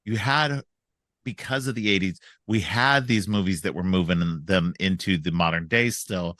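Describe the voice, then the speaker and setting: A man's voice: low voice, moderate pace, a bit bright, no room sound. A middle-aged man hosting a casual film podcast, stuffed up from a cold, rambling with frequent restarts. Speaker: podcast host.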